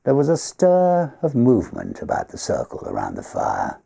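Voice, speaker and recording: A man with a husky, gravelly voice reads a literary ghost story aloud in a theatrical, measured way. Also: medium pitch. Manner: theatrical, measured, expressive phrasing. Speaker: story reader.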